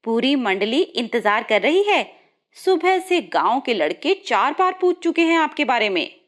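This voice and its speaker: A woman's voice: high voice, sing-song, measured pace. A woman voicing a devoted, caring young village wife in a story. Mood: eager, affectionate. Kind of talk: story dialogue.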